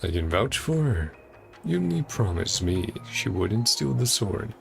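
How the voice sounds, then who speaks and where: A man's voice: on the low side, very animated, a little slow, gravelly, soft background music. A man streaming a game playthrough, reading dialogue aloud and commenting casually in offhand fragments. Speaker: gaming streamer.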